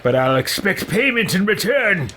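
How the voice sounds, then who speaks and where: A man's voice: high-pitched, sing-song, moderate pace. A man doing playful let's play commentary, roleplaying his game character in a wry, theatrically impatient way. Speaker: gamer roleplaying through a video game.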